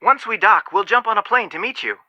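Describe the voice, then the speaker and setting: A man's voice: high voice, lively intonation, fairly quick, no echo, dull audio. An actor playing an earnest, level-headed young male detective in a video game, speaking articulately. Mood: wry, helpful. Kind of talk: video game phone dialogue.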